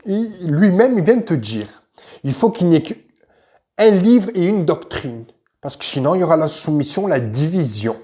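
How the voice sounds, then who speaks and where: Fairly high-voiced, confrontational male commentator. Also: warm tone. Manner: confrontational, addresses listener directly, repeats himself. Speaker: YouTube commentator. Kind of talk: informal argumentative commentary.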